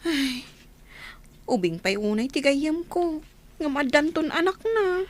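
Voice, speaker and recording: Woman as worried young villager, high voice, earnest, plaintive, Ilocano accent, radio drama. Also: expressive, slow. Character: worried young village companion waiting at the hospital.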